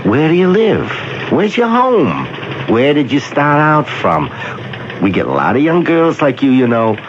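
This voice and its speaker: An actor playing a harried but kindly middle-aged Brooklyn police officer in a radio drama, folksy and insistent. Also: male voice, fairly high pitch, huge pitch swings, measured pace. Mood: questioning. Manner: folksy, insistent, reassuring questioning. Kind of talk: 1970s radio drama acting. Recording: muffled, noisy old radio broadcast.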